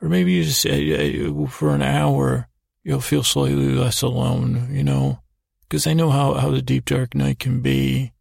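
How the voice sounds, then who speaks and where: A man hosting a sleep podcast with a meandering, halting bedtime monologue, repeating words and trailing off in a creaky, gravelly voice. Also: mid-range voice, steady tone, darkish tone, dry recording, clean studio sound. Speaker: podcast host. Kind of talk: rambling bedtime monologue to help listeners sleep.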